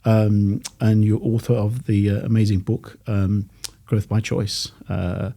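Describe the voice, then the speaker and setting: A male podcast host introducing his guest in a halting way, with many pauses and restarts. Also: on the low side, level tone, vocal fry, dark tone. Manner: halting, many fillers and restarts, self-deprecating. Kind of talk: interview introduction.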